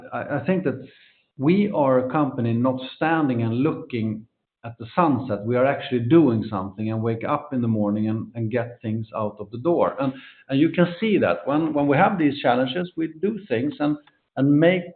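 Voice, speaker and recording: A man speaking as a company executive, answering questions off the cuff on an investor call, often halting and repeating words. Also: medium pitch. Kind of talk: unscripted answers on an earnings conference call. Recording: roomy echo, dull band-limited conference call audio.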